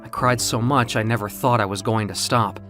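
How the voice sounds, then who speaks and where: A man's voice: lowish voice, steady tone, moderate pace, music in the background. A man narrating a scripted first-person story in a dramatic, emotive way.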